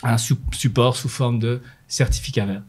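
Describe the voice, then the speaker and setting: A middle-aged man speaks at an ordinary pitch, steady and explanatory. He is a manager answering an interviewer's corporate questions, pausing on fillers and restarting phrases as he thinks. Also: breathy edge. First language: French. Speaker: renewables manager at an energy company.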